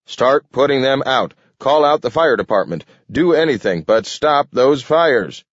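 A man's voice: fairly low pitch, expressive, measured pace, dry recording, lo-fi sound. A man voicing a pompous, flustered city mayor from a storybook, blustering and sputtering. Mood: frantic shouting.

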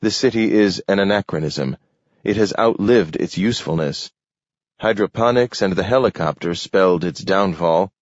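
A man voicing a grim, resolute civic official in a story, speaking in a level, stern, measured way. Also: low voice, no room sound, dull audio, natural voice. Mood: grave, resolute.

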